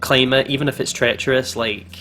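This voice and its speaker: A man's voice: medium pitch, fairly even intonation, brisk, sharp tone. A man hosting a gaming channel, giving chatty, casual commentary on a game. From Scotland. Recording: faint music behind.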